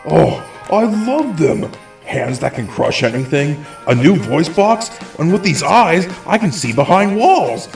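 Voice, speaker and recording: A man's voice: fairly high pitch, very animated, a little slow, gravelly, a little mellow, exaggerated voice. A man voicing a big, goofy, protective animatronic bear in a cartoon comedy skit, jokey and theatrical. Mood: dramatic. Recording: roomy echo, music behind.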